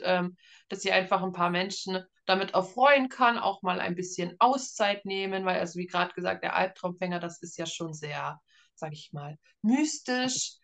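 Casual German woman with a middling pitch. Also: fairly bright tone. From northeastern Germany. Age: 30s. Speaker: interview guest, author. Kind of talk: casual interview chat.

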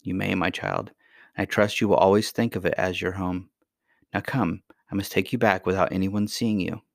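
A mysterious, kindly grandmother from a children's storybook, read by a male narrator. The voice sits fairly low and stays serene, gentle and patient, reassuring as it instructs. The sound is dry. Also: fairly even intonation, normal speed, vocal fry, no echo. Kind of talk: acted dialogue in a children's fairy tale reading.

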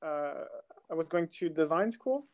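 A man's voice: on the high side, animated, unhurried, darkish tone. A man from France in his thirties, a music producer talking casually in an interview, rambling with many fillers and restarts.

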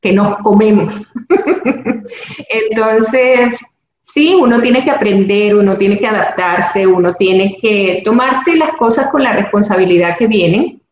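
A woman's voice: medium pitch, takes it slowly, muffled recording. A woman from Venezuela with a Venezuelan Spanish accent, an actress and producer giving thoughtful, earnest interview answers. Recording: very echoey room, faint background noise.

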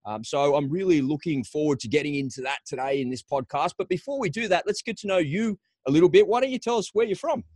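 A man's voice: fairly high pitch, quick pace, few pauses, darkish tone, dry recording. A man hosting a podcast, giving an enthusiastic, promotional introduction and interviewing a guest. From Australia. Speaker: podcast host.

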